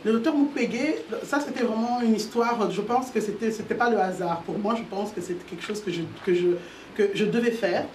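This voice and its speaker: A woman's voice: medium pitch, a little fast, darkish tone. A woman from Italy, a guest talking about her association, speaking hesitantly with many fillers and repeated words. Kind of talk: interview answers. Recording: roomy sound from a distant mic with faint noise.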